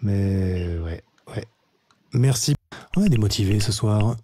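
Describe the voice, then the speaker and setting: A man hosting a live-streamed quiz in a relaxed, chatty way, often correcting himself. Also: lowish voice, slow, a little hiss or hum. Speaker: quiz show host.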